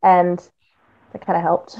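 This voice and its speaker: A woman's voice: lowish voice, completely flat delivery, a little slow. Woman chatting casually, dull lo-fi audio. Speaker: voice actress, podcast guest. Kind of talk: casual chat.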